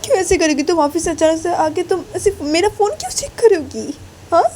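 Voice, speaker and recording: A high, soft-toned young wife acted by a woman in an audio drama, with faint noise behind her. She is hurt and tearful, loving yet indignant, and pleads by saying things over and over. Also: expressive, a little fast. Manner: pleading, repeats herself insistently. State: crying.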